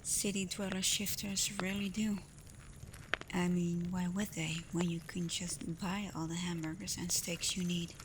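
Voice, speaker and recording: A woman voicing a caring alpha werewolf in a soft, breathy ASMR roleplay, gentle and reassuring, confident but privately unsure. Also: on the low side, a little slow, close to an everyday voice. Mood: warm, encouraging. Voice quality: soft, breathy, often near a whisper. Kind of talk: ASMR roleplay, soft intimate talk to the listener. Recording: clear steady ambient noise.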